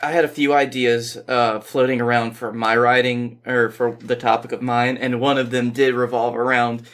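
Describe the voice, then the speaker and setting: A man with a medium-pitched voice is a guest on a podcast. He speaks informally and a little self-deprecatingly, moving between casual talk and reading a story aloud. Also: little pitch movement, normal speed, a bit bright.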